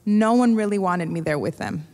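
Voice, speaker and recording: A woman's voice: mid-range voice, expressive, normal speed, darkish tone. A woman interpreting a testimony, reading a prepared translation steadily, phrase by phrase, on a church stage. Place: church stage before an audience. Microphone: stand microphone. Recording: long reverb of a large church hall.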